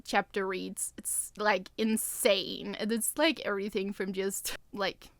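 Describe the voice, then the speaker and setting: A woman's voice: mid-range voice, level tone, a little slow, fairly bright tone, dry recording. A woman co-hosting a podcast, chatting casually about writing. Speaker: podcast co-host and co-writer.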